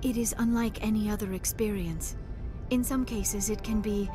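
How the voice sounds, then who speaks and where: A woman's voice: mid-range voice, fairly even intonation, moderate pace, over music, natural voice. A woman playing a young female alien scholar in a video game, earnest and reserved, with formal, precise speech. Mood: earnest, gentle. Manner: formal, precise wording, avoids contractions. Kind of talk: video game dialogue acting.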